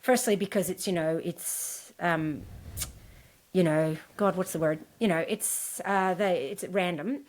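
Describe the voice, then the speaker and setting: A woman's voice: fairly low pitch, a little slow. Chatty woman coach from Scotland. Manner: chatty, many fillers and restarts. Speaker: endurance coach, podcast guest. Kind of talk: casual interview chat.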